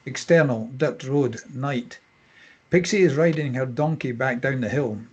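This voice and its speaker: A man narrating scene headings and stage directions at a screenplay table read in a husky voice. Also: medium pitch, unhurried, darkish tone, highs cut off.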